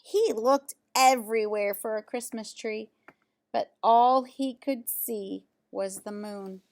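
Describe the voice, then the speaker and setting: A woman reading a children's picture book aloud, pausing for casual asides and questions to young listeners. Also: on the high side. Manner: pauses to comment on pictures and ask listeners questions.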